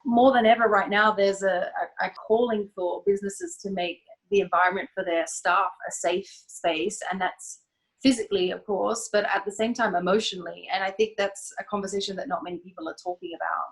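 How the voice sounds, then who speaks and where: A woman answering interview questions as a business founder, measured and reflective, sometimes restarting a phrase. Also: mid-range voice, steady tone, low-quality recording. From Australia. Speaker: guest, event company founder.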